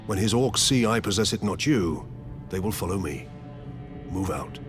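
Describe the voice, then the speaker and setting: A stoic superhuman warrior captain in a video game, low-voiced and resolute. He speaks in grave, formal orders. Loud music and battle noise surround him. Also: lively intonation, normal speed. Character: stoic, commanding armored superhuman space marine captain. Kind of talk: dramatic video game acting.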